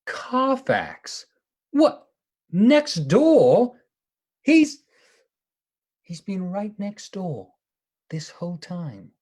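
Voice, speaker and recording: A woman playing an earnest, stern and excitable male asylum doctor in a radio play. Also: mid-range voice, extremely slow, long pauses, husky, exaggerated voice. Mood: shocked.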